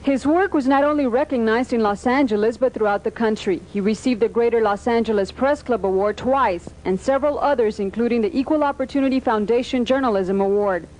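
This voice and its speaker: A woman's voice: a little high, poor audio. Woman reading documentary narration. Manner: steady, measured reading.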